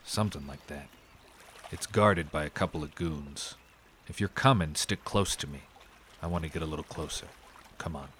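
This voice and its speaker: An actor playing a gruff, protective amateur detective in a 1930s noir audio drama, speaking in terse, clipped lines. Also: male voice, extremely low pitch, normal speed, a bit bright, a little hiss or hum. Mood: guarded, serious. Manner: terse, clipped, hard-boiled.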